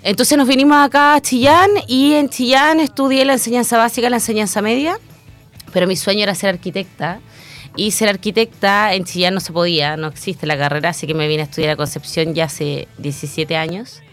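A woman in her thirties with a Chilean accent, a guest talking through her story in a relaxed interview, pausing often with fillers. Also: mid-range voice, soft background music. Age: 30s. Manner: reflective, frequent hesitation fillers. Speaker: guest, newly elected council member. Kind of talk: casual interview conversation.

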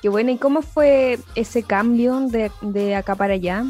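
A woman with a Chilean accent hosting an interview, chatty and casual with quick follow-up questions. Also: fairly high pitch, a little mellow, soft background music. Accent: Chilean Spanish. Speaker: host.

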